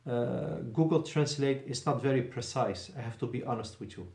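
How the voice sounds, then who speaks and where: A man's voice: medium pitch, normal speed, darkish tone, roomy sound. A male Arabic teacher giving a patient classroom lesson in a mosque, explaining in English and repeating Arabic phrases.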